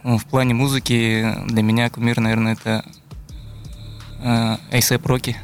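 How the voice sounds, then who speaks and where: A man's voice: mid-range voice, flat delivery, normal speed, soft background music. A young man from Buryatia, a guest musician, answering interview questions thoughtfully and searching for his words. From Buryatia, Russia. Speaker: guest musician, beatboxer and looper.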